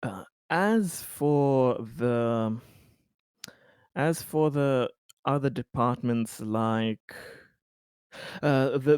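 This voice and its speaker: Halting man roleplaying an advisor, slow-paced. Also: mid-range voice, darkish tone, smooth, no echo, clean studio sound. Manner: hesitant, halting delivery. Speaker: tabletop roleplaying player. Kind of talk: improvised in-character advisory report at a tabletop game.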